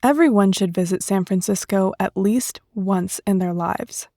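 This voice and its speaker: A woman with a soft-edged, mellow voice of medium pitch narrates a scripted city tour for language learners. Her phrasing is measured and clear, recorded very dry. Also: no echo. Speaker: narrator of an English lesson.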